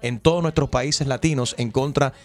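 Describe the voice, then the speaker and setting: A man's voice: mid-range voice, steady tone, moderate pace. A man hosting a talk show, chatty and asking leading questions with hesitant fillers. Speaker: host. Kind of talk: casual talk-show interview.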